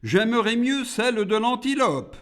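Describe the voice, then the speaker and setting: A man's voice: very high-pitched, big pitch swings, measured pace, fairly bright tone, voice pushed up, exaggerated voice. A man voicing a proud, rebellious demon who makes disdainful, defiant demands in a declaimed storybook poem. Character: Iblis, proud rebellious male demon, monstrous forger.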